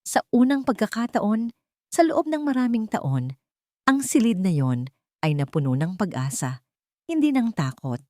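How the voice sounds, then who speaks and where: A woman's voice: medium pitch, very animated, measured pace, pauses often, a little mellow, no room sound, studio quality. A woman with a Filipino accent narrating a scripted dramatic story.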